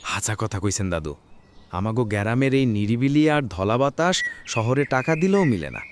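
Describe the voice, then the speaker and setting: A man's voice: medium pitch, big pitch swings, brisk, background noise, lightly acted. An actor playing a respectful, chatty village man in a cartoon, with a rural Bangladeshi accent. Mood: warm, respectful. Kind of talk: cartoon drama dialogue.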